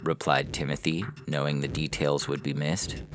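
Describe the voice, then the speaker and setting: Very deep male story narrator over music. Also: level tone, moderate pace. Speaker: narrator of a science fiction story.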